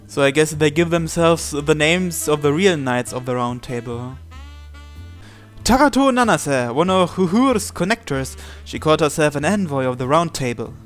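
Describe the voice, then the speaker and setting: A man's voice: on the high side, lively intonation, normal speed, faint music behind. A man hosting a let's-play, reading a visual novel's narration and every character's lines aloud in his own voice.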